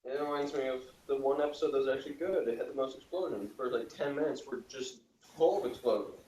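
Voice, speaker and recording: A man's voice: mid-range voice, fast, a little mellow. A man giving blunt, dry opinions in a casual group discussion as a review panelist. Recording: distant, roomy, dull recording with faint hiss.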